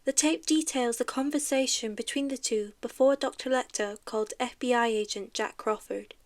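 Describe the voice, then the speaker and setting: A woman's voice: on the high side, moderate pace, lightly acted. A woman playing an investigator who records an official case review in an audio drama, formal and matter-of-fact. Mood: formal, detached. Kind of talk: scripted audio drama narration.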